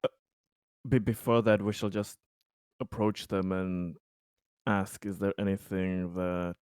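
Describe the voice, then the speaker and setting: Deep, flat, slow-speaking man. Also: smooth, no room sound, clean studio sound. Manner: measured, halting. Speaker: tabletop game player. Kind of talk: in-game discussion.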